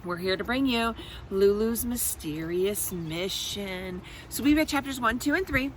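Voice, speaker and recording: A woman's voice: mid-range voice, animated, unhurried, a little hiss or hum. A woman reading a children's storybook aloud as host, expressively, with explanatory asides to her young listeners.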